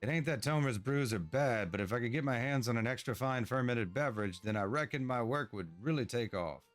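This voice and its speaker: A man's voice: mid-range voice, a little fast, faint music behind, natural voice. A man voicing an eager, awkward, proud young dwarf inventor in a fantasy game, with hesitant, folksy delivery. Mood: scheming, eager. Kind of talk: storybook-like game dialogue read aloud.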